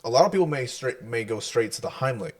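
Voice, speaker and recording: A man co-hosting a casual podcast who explains things in a relaxed way, often checking in with "right?". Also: mid-range voice, fast. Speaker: podcast co-host; hospital medical worker.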